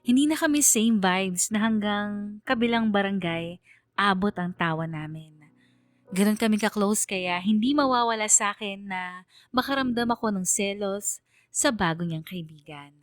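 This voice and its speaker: A woman's voice: mid-range voice, normal speed, no echo, soft background music. A woman with a Filipino accent narrating a scripted radio story in an earnest, sentimental way.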